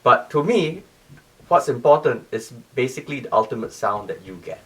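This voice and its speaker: Male interviewee, technical explainer. Lively intonation, repeats points for emphasis, voice sits back from the microphone. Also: medium pitch, normal speed, recorded from a distance. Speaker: loudspeaker company CEO, interview guest. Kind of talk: interview explanation of audio design.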